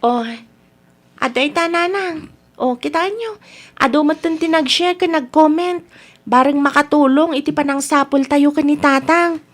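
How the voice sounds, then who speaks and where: A woman's voice: high voice, measured pace. A woman playing a caring young daughter who looks after her family, in a radio drama. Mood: caring, encouraging. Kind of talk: radio drama acting in Ilocano.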